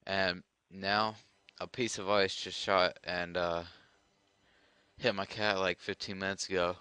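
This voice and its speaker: A man chatting casually and making a prank phone call in a deadpan, slangy manner. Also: low voice, a little slow, clean tone, no echo. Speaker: prank caller.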